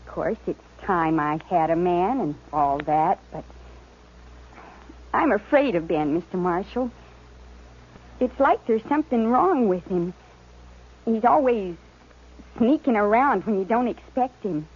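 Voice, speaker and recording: Timid, husky prairie teenage girl. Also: medium pitch, animated, unhurried, pauses often. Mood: uneasy, trusting. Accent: rural American. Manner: hesitant, naive, earnest. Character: timid, plain-spoken fifteen-year-old prairie farm girl. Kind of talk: 1950s radio western acting. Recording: muffled vintage radio recording.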